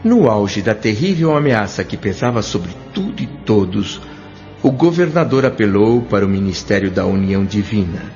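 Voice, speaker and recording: Male actor as reflective doctor-narrator, Brazilian, lowish voice, measured literary delivery for audio drama, dulled recording. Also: sing-song, a little mellow. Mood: solemn, reflective. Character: thoughtful male doctor recounting his afterlife memories. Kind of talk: scripted first-person audio drama narration. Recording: dull lo-fi audio with music underneath.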